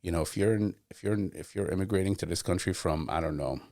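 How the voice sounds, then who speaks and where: A man's voice: deep voice, quick pace, darkish tone, dry recording, clean studio sound. A man hosting a podcast, talking thoughtfully in casual conversation and often searching for words. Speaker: podcast host.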